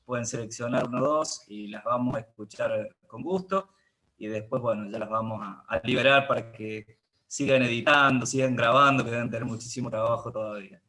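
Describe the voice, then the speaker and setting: Halting Argentine man hosting a talk. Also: mid-range voice, pushed and bright. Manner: hesitant, halting, searching for words. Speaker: host of an online theatre talk. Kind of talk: informal spoken closing remarks and moderation.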